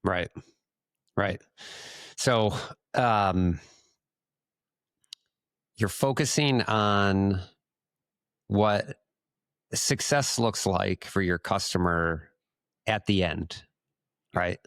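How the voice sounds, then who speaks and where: A man co-hosting a casual business podcast, thinking aloud in a halting way as he frames questions. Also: low voice, fairly bright tone, no echo. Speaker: podcast co-host asking questions.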